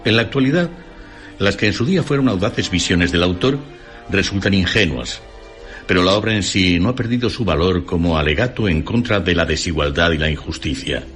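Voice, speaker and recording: A man with a Castilian Spanish accent reading a scripted literary radio essay in a formal, measured way. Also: low-pitched, animated, fairly bright tone. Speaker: radio documentary narrator. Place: radio studio. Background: faint music.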